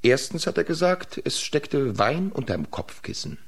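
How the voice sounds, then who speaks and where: An actor playing a sly, cheeky peasant man in a radio play, with a drawn-out delivery. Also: mid-range voice, very animated, creaky, breathy edge, full character voice. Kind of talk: German radio play acting.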